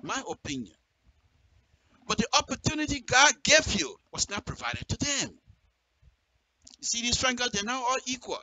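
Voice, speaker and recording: A man's voice: very high-pitched, sing-song, normal speed, pauses often, bright, forceful tone, low-quality recording. A man with a Liberian accent giving impassioned political commentary in direct address, emphatic and repeating phrases for effect. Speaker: radio commentator.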